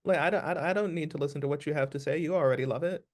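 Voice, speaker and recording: Guy actor in an interview, kinda higher voice, earnest, giving advice, repeats stuff to hit the point. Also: very fast. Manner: earnest, emphatic, repeats phrases for stress.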